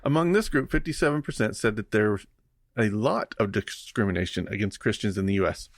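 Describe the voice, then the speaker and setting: A man's voice: on the low side, fairly quick, dry recording, studio quality. A man co-hosting a casual podcast, ranting bluntly and reading out poll figures. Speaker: podcast co-host. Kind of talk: casual chat.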